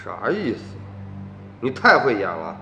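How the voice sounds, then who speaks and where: A blunt, forceful young farmer. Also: male voice, lowish voice, wildly swooping pitch, a little slow, roomy sound, faint music behind, exaggerated voice. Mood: threatening. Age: young adult. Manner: blunt, rough-tongued. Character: gruff, suspicious young farmer, sarcastic elder cousin. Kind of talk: radio drama acting.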